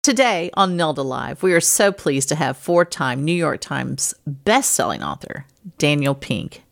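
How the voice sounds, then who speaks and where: A woman's voice: deep voice, sing-song, measured pace, gravelly, faint music behind. A woman from Louisiana and Texas who hosts a show, interviewing a guest over a video call in a chatty way. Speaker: show host.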